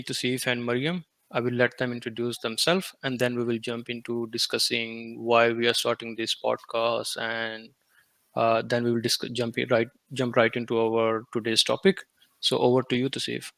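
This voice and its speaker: A young man from Pakistan hosts a casual podcast, his voice at a middle pitch and recorded dry and close. He talks haltingly, with plenty of fillers, and slips between English and Hindi-Urdu. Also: flat delivery, creaky, a bit bright, no room sound. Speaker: podcast host.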